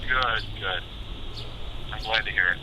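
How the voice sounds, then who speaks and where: A middle-aged man playing a caring, gentle father on an understated phone call in an audio drama. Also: fairly low pitch, measured pace, background noise, close to an everyday voice. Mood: gentle, awkward. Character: caring, gentle father checking on grown daughter.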